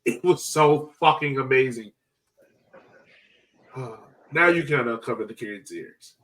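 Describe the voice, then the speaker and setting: A man chatting casually as a sports fan podcast host, excited and slangy, swearing and repeating phrases for emphasis. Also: mid-range voice, slow pace, recorded from a distance.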